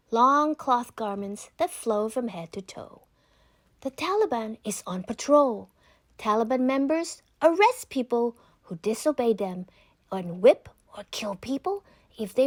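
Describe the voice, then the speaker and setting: A woman reads a children's book aloud in a fairly high voice. Her intonation moves widely and expressively through measured, scripted narration. The sound is dry, with no room echo.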